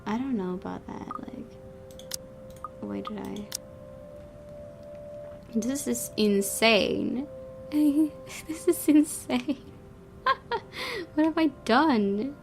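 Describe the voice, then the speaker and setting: Woman gaming host over clear music. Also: on the high side, animated, extremely slow, long pauses. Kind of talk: casual game commentary with read-aloud narration. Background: game music.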